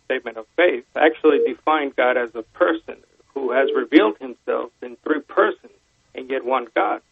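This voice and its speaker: A man calling a radio show by telephone, asking questions in a measured, persistent, conversational way. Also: medium pitch, gravelly. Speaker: radio phone-in caller. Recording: narrow, roomy phone line on radio.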